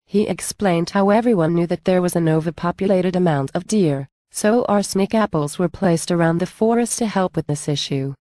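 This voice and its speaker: A woman's voice: fairly low pitch, moderate pace, hardly any pauses, warm tone, no echo. A woman reading scripted narration for a storybook tale.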